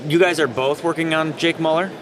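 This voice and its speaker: A man's voice: fairly high pitch, steady tone, a little fast. A man in casual conversation as a podcast interviewer, recorded in a noisy convention crowd. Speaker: podcast co-host. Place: convention booth, live recording. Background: crowd ambience.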